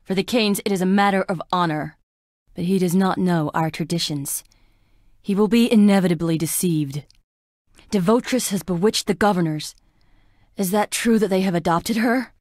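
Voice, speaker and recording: A woman's voice: lowish voice, normal speed, darkish tone, no room sound, studio quality, close to an everyday voice. An actress playing a frail, melancholy young woman in a plague-stricken town, delivering dramatic video game lines in a wistful, languid, theatrical way. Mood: earnest, uneasy.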